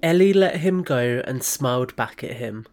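A man's voice: medium pitch, animated, normal speed, a little mellow, faint music behind. A man reading scripted third-person narration as the narrator of an audio drama.

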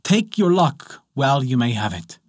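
A man's voice: mid-range voice, very animated, moderate pace, dry recording, studio quality. A man reading a storybook, voicing the sighing leaves of a linden tree chanting a charm.

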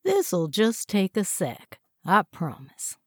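A man's voice: high-pitched, wildly swooping pitch, slow, fairly bright tone, dry recording, studio quality, full character voice. A woman voicing a short male interrogating officer with a matter-of-fact, mocking tone. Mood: smug.

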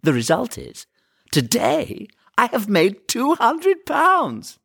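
A man voicing a cocky, flippant, excitable young son in a comic story, with drawling, exaggerated emphasis. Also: very high-pitched, slow pace, gravelly, husky, no echo, studio quality, voice pushed up, exaggerated voice. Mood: boastful.

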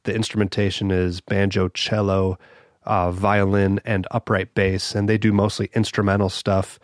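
A man's voice: on the low side, level tone, moderate pace, dry recording, clean studio sound. A man hosting a podcast with a voice scratchy from a cold, talking in an easygoing way with small restarts. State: hoarse from a cold. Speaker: podcast host. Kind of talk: casual spoken podcast intro. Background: banjo music.

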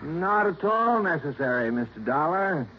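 A suave scheming schemer. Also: male voice, a little high, sing-song, normal speed, a little hiss or hum. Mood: smooth. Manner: suave, mock-polite, condescending. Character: smooth scheming male secretary, slippery. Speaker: suspect. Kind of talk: 1950s radio drama acting. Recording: muffled old radio broadcast.

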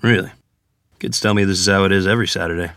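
A man's voice: low voice, fairly even intonation, fast, fairly bright tone, no room sound, clean studio sound. A man acting genial, wry men in a dramatic script reading of a period story. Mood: dryly teasing. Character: genial jazzman or wry rural ferryman father. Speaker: actor at a screenplay table read.

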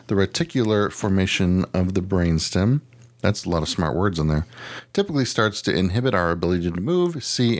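A man hosting a podcast with casual comedic banter, rambling and swearing freely as he goes. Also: deep voice. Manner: rambling, profane, easily sidetracked. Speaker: podcast host.

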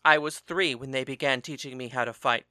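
A man voicing a calm, steady husband. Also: mid-range voice, fairly quick, no echo, clean studio sound, natural voice.

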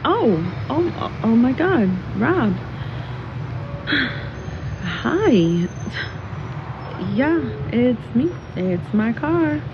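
A woman's voice: high voice, very animated, very slow, long pauses, exaggerated voice. A woman acting a rattled caller in a scripted audio drama. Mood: distressed. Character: rattled woman phoning a man about her car. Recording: muffled phone scene, noisy ambience.

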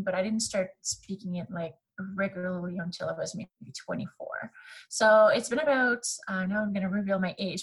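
A woman in her thirties speaks English with a French accent; French is her first language. She talks as a podcast guest in a husky, slightly breathy voice. Her delivery is chatty and easygoing, full of fillers. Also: medium pitch, rough recording. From Canada. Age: 30s. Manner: chatty, many fillers, laughs easily. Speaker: podcast guest, graphic designer. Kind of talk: casual interview chat.

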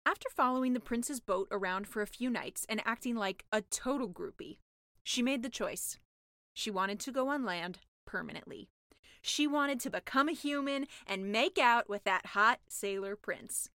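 A woman's voice: a little high, moderate pace, pushed and bright, no echo, clean studio sound. A woman author reading her own comic fairy tale as scripted storybook narration.

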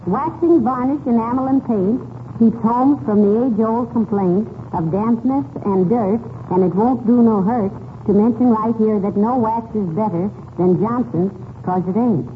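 A woman's voice: a little high, measured pace, close to an everyday voice. An actress playing a sardonic, sensible American wife who teasingly deflates her husband, in 1940s radio comedy style. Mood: friendly. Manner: wry, teasing. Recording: noisy, tinny 1940s radio recording.

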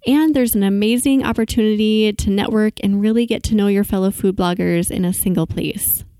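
A woman's voice: medium pitch, fairly quick, mellow, dry recording, studio quality. A woman hosting a podcast, reading promos and introducing a guest with bubbly enthusiasm. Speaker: podcast host. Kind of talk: podcast ads and interview.

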